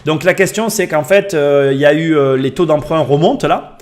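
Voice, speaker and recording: A man's voice: a little high, normal speed. A man hosting a live-streamed interview who speaks in a chatty way, with hesitations and easy laughter. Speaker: podcast host.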